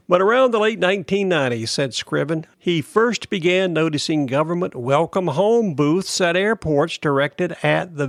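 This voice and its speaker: Man reading news, fairly high voice. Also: no echo, clean studio sound. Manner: steady, plain read-aloud delivery. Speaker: podcast host. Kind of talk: reading a news article aloud.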